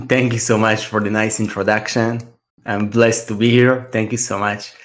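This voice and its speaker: A man's voice: on the low side, moderate pace. Russian-accented man in his thirties. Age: 30s. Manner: earnest, steady storytelling. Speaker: trader and mentor, podcast guest. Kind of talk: casual podcast interview.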